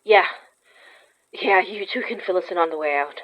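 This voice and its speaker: A woman's voice: lowish voice, normal speed, no room sound, muffled recording, close to an everyday voice. A woman playing a determined, defiant young heroine in a horror audio drama, with curt, demanding delivery. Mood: resolute.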